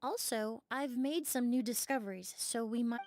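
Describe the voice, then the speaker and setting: An actress playing a calm, analytical young forensic investigator in a video game, precise and matter-of-fact. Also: female voice, a little high, normal speed, natural voice. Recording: faintly noisy game livestream capture.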